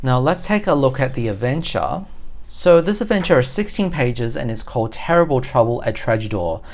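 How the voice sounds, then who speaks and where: Channel host, mid-range voice, explanatory unboxing commentary, measured, methodical. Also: medium pitch, expressive, a little mellow. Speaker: host of a tabletop game collecting channel.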